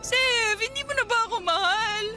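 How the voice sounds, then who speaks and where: A woman's voice: very high voice, moderate pace, loud music behind the voice. A woman playing a hurt, angry young girlfriend with a Filipino accent in a radio drama, tearfully accusing and questioning her cheating boyfriend. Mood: wounded, crying. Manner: accusing, pleading with repeated questions.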